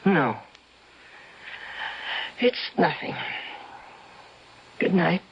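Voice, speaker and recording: An actress playing a fretful, ailing, doting wife in an old-time radio drama, with dramatic, halting, emotional delivery. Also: female voice, lowish voice, lively intonation, a little hiss or hum, full character voice. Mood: despairing. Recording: dull, band-limited radio drama recording.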